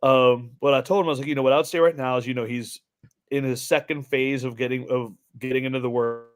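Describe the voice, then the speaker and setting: Man chatting casually, medium-pitched voice. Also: fairly even intonation, fast, smooth. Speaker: podcast co-host, fitness coach. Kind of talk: casual conversational storytelling.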